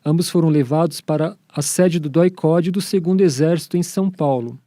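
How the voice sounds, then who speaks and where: A man's voice: a little high, brisk, mellow, no echo, clean studio sound. A Brazilian man presenting and narrating a radio documentary from a script.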